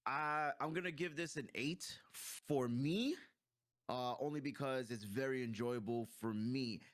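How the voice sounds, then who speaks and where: A man's voice: mid-range voice, unhurried, background noise. A man co-hosting a casual movie review, chatting in a blunt, opinionated, slangy way. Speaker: co-host of a video game movie review. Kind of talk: casual review chat between friends.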